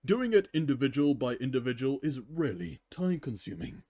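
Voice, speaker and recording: A man's voice: mid-range voice, very animated, fairly quick, mellow, no room sound. A man voicing a cheerfully callous young female cartoon pony in a nonchalant, matter-of-fact way.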